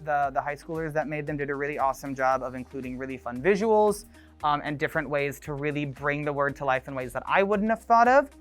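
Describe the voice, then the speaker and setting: A male grade one teacher speaking in a documentary interview, relaxed and hesitant, with frequent ums and uhs. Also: fairly high pitch, quick pace, faint music behind.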